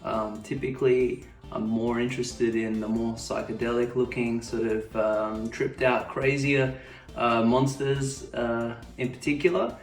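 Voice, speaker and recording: A man's voice: medium pitch, fairly even intonation, unhurried, faint music behind. An Australian-accented man describing his favorite psychedelic toy in a thoughtful, hesitant way. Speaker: toy collector. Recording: echoey room, distant mic.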